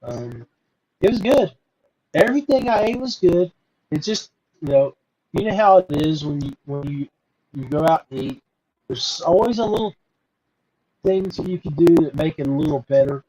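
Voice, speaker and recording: A middle-aged or older man talks casually to his podcast audience in a fairly high male voice. His delivery is folksy and halting, wandering along with repeated words as he addresses viewers directly. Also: lively intonation, warm tone, husky, low-quality recording. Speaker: podcast host. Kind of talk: casual rambling monologue to viewers.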